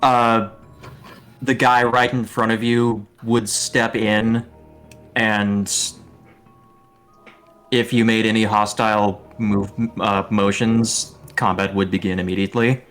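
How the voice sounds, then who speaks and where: A man's voice: fairly low pitch, flat delivery, takes it slowly, fairly bright tone, soft background music. A man acting as game master, improvising narration for a tabletop roleplaying game.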